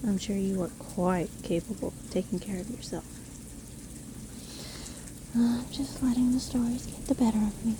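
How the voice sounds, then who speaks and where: A woman playing a seemingly kindly, sly witch who lives alone in a forest, speaking gently and unhurriedly in a fantasy audio roleplay. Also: fairly high pitch, a little hiss or hum, lightly acted. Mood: coaxing, hospitable. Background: rain storm ambience.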